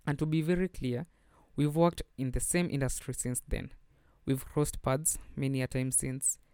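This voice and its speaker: A man's voice: mid-range voice, normal speed, warm tone, smooth, no echo, clean studio sound. A young Kenyan man hosting a podcast, chatting casually and switching between English and Swahili slang. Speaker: podcast host. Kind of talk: casual solo podcast chat.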